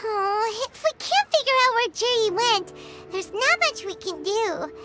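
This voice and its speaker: A woman voicing a tiny, eager, naive childlike companion in a video game, squeaky and exclamatory. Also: lively intonation, unhurried. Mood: puzzled. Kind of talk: cartoonish video game voice acting. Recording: loud playful music behind voice.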